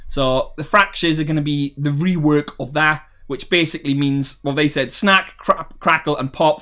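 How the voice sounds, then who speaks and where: A young man talks casually on a live stream, rambling through his thoughts with frequent ums. His voice sits a little high for a man and brightens whenever he gets excited about his viewers. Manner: chatty and rambling, many ums, upbeat bursts when viewers join. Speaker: streamer hosting a game development talk. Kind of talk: casual live stream chat, reading chat messages aloud.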